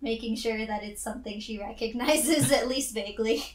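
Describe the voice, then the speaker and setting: A woman chatting casually as a player in a tabletop game, with halting phrasing. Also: a little high, recorded from a distance. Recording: roomy, echoey sound.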